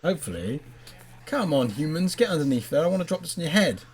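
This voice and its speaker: A man chatting casually as he plays a game, making playful, deadpan jokes as co-host. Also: a little high, quick pace, crowd noise. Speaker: gaming video co-host playing the game. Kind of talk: casual chat while playing a game.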